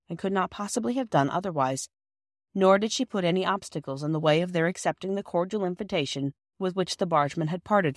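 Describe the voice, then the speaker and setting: A woman's voice: lowish voice, no room sound, clean studio sound. A woman narrating a children's storybook from a script. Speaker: audiobook narrator.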